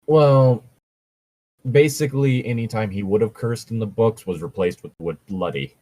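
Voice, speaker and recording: A man's voice: fairly low pitch, moderate pace, warm tone. A man in casual commentary chat, opinionated and argumentative, swearing freely as he talks. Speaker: co-host reading and reacting to fan fiction.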